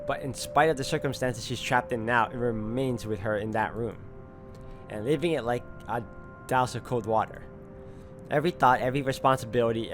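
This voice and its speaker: A man reading introspective first-person visual novel narration in a measured way. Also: medium pitch. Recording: faint music under the voice. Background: music.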